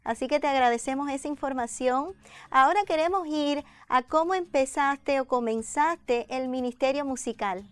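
A woman's voice: high voice, moderate pace, no room sound. A middle-aged woman hosting a conversational interview programme in a studio. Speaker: programme host. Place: indoor TV studio set with armchairs.

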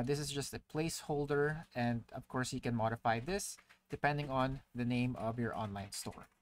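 Casual man narrating a screen tutorial. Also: mid-range voice. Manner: casual explaining with frequent "uh" fillers. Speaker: tutorial presenter. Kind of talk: screen-recorded software tutorial. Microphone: voice-over to screen recording.

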